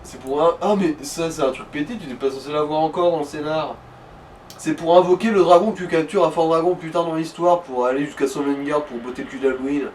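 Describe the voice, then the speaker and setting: A man's voice: on the high side, brisk, a little mellow. A man giving casual, slangy commentary on a video game, explaining things as he goes. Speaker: co-commentator of a let's play. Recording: noisy room, distant microphone.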